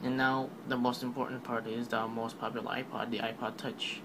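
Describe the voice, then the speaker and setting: A man's voice: mid-range voice, flat delivery, fairly quick, noisy background. A man giving a casual, rambling voice-over recap of a product keynote, full of fillers and offhand remarks. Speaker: tech video narrator.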